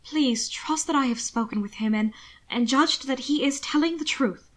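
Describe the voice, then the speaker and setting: A woman voicing a weary, polite gentleman in an audiobook, earnest and gently firm. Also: sits high, normal speed, pitched above the performer's own voice. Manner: courteous, earnest, subdued. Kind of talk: audiobook character dialogue.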